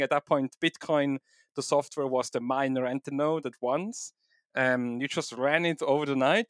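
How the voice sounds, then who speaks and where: A man's voice: medium pitch, dry recording, studio quality. A man with a Swiss German accent, a podcast guest talking casually and at length, with many fillers. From Switzerland. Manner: relaxed, rambling with many fillers. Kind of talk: casual interview talk.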